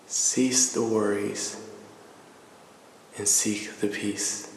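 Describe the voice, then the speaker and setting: A man leading a guided meditation in a calm, deliberate, soothing manner, pausing between measured phrases. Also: fairly low pitch. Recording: echoey reverberant voice with faint noise.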